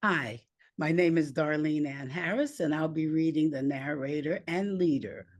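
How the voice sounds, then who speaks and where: A woman narrating a screenplay aloud with deliberate, measured phrasing. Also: low-pitched, no echo.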